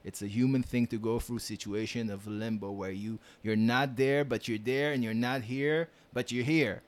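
Man, podcast guest, earnest and repetitive for emphasis, mid-pitched. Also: steady tone, moderate pace. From Israel. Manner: earnest, repeats phrases for emphasis. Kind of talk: casual chat.

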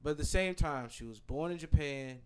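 A man's voice: fairly high pitch, normal speed, a little mellow, clean tone. A man, talking through an opinion with many restarts in a casual podcast chat. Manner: hesitant, restarts while reasoning. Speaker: podcast co-host.

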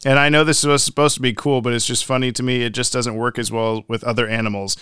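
A man with a clean, smooth, slightly high voice co-hosts a podcast in a very dry-sounding space. He reads listener messages aloud in a casual way and drops in joking asides. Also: level tone, fast talker, a bit bright, dry recording. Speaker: podcast co-host.

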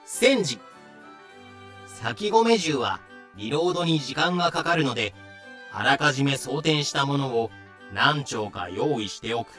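Male narrator, slow delivery, bright forceful voice, dramatic scripted style, music behind. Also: medium pitch.

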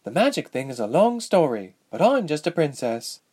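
Man acting a young princess, raised pitch, impatient, earnest, emphatic, fantasy audiobook character. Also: big pitch swings, moderate pace, a little mellow, slightly rough. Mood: exasperated. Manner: exasperated, emphatic.

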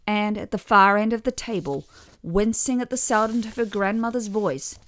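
A woman's voice: medium pitch, fairly even intonation, normal speed. A middle-aged woman reading a scripted first-person story with wry, dry humour. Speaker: podcast author and narrator.

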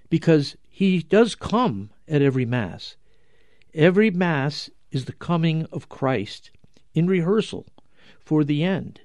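A man preaching as a religious commentator, emphatic and rhetorical, with deliberate pauses and repetition for weight. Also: on the high side, a little mellow, no echo. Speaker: religious commentator and show host. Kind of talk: dramatic Gospel commentary, preaching to listeners.

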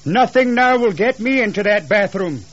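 A man's voice: on the high side, very animated, moderate pace, a little mellow, breathy edge, no room sound, dull audio, pitched above the performer's own voice. A man voicing a shaken, insistent husband in a ghost story, earnest and nervy. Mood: frightened, stubborn.

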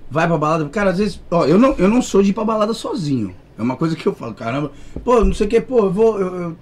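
A man's voice: sits high, animated, a little mellow. A young man with a Santos Brazilian Portuguese accent, chatting casually with slang and quoting his own lines. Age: young adult. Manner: rapid, slangy, quotes himself. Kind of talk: casual podcast chat.

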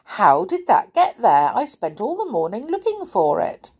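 A woman's voice: medium pitch, huge pitch swings, a little slow, husky, voice pushed up, full character voice. A woman voicing a fussy, muddled little male goblin in a children's storybook, talking in a flustered, dithering way.

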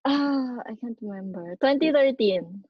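Chatty Filipino woman, slightly high voice. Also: expressive, measured pace, husky, dry recording, muffled recording. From Philippines. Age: 30s. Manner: chatty storyteller, frequent ums and laughs. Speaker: podcast co-host. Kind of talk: casual chat mixing English and Tagalog.

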